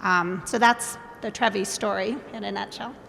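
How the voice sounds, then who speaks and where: A woman's voice: mid-range voice, fairly even intonation, normal speed, gravelly, fairly bright tone, slight background noise. A woman, a biotech founder and CEO, introducing her company in a confident, businesslike way on a panel. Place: conference hall. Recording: long hall reverb.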